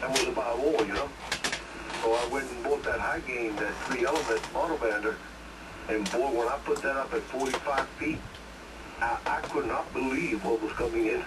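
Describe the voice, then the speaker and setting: A man's voice: mid-range voice, moderate pace. A man chatting casually as an amateur radio operator over a shortwave link, rambling in a folksy way with lots of "you know". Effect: shortwave radio transmission. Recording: noisy distant ham radio signal.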